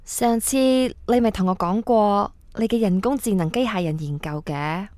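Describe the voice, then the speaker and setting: A woman's voice: mid-range voice, normal speed, dark tone, no room sound, natural voice. An actress playing a persuasive young woman, a tycoon's breezy daughter, in a radio drama, mixing in English words. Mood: eager. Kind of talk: radio drama acting, casual dialogue. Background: background music.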